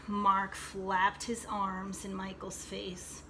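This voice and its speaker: A woman reading a picture book aloud to children like a teacher, expressively, pausing to explain and check in with her young listeners. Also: mid-range voice, completely flat delivery, sharp tone, slight background noise, rough recording. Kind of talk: read-aloud storytelling with asides to young listeners.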